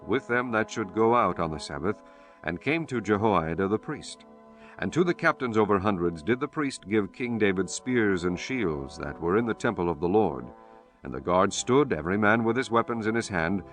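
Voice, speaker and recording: Deep-ish guy reading bible audiobook, formal and measured, soft music behind him. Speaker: audiobook narrator. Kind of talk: solemn scripted scripture narration.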